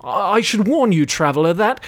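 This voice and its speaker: A man's voice: high voice, very animated, moderate pace, a bit bright, no room sound, pitched above the performer's own voice. A man voicing a haggard, frightened male barkeep who talks in a frantic, quivering way. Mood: nervous.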